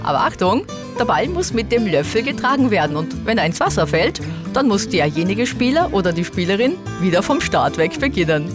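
A woman presenting a scripted tip segment on children's radio, explaining a game in a friendly, clear way. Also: medium pitch, sing-song, a little fast. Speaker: radio presenter giving kids' tips. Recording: music bed behind the voice.

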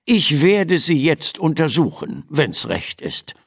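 A man's voice: on the high side, big pitch swings, slow, gravelly, slightly rough, voice pushed up, heavily acted. A man voicing a tiny, grumpy and fussy gnome doctor in a children's story, pompous and easily affronted.

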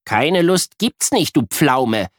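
Man voicing a cheeky invisible friend, teasing, bossy, high and bouncy, dry close sound. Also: big pitch swings, slow pace, no room sound, full character voice. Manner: teasing, impatient.

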